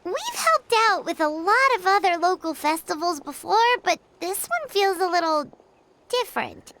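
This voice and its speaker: A woman's voice: extremely high pitch, lively intonation, moderate pace. An actress voicing a small chirpy female fairy companion who refers to herself in the third person, in a video game. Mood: easygoing. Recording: game audio with loud background noise.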